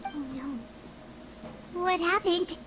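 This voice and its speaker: A woman voicing a tiny, childlike fairy companion in a video game, squeaky, curious and earnest. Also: takes it slowly, noisy background. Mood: curious, concerned. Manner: inquisitive, expressive. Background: loud background music.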